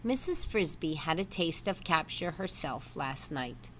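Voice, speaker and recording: A woman's voice: low-pitched, sing-song, unhurried, slight background noise. Woman voicing a courteous, friendly male rat. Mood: polite, encouraging.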